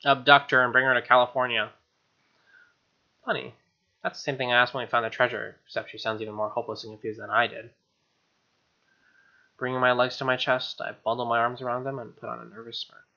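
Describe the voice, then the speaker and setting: A man's voice: medium pitch, little pitch movement, brisk, pauses often, sharp tone. A young man reading game text aloud as a let's play host, with irreverent, slangy commentary. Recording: dull lo-fi mic, music behind. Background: game music with guitar.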